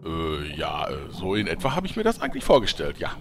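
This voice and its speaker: A man's voice: sits low, wildly swooping pitch, brisk, fairly bright tone, slight background noise, heavily acted. A man playing a cheeky freeloading gamer, theatrical in manner, in a comedic audio drama. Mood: sheepish. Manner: theatrical, sheepish then indignant. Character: cheeky freeloading male player in online game parody.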